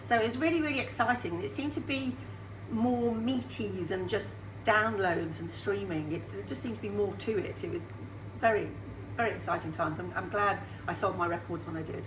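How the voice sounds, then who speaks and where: A chatty, upbeat woman in her sixties answers interview questions about her singing career. Her voice sits at a middle pitch and carries a roomy echo. A faint hum runs behind her. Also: brisk. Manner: chatty and upbeat, laughs easily. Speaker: singer guest.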